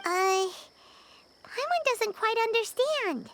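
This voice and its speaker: Squeaky, very high excitable little fairy. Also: female voice, takes it slowly, background noise, heavily acted. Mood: flustered. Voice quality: squeaky, childlike. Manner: exclaims, refers to self in third person. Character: small excitable female floating fairy companion, childlike, curious. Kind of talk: animated video game acting.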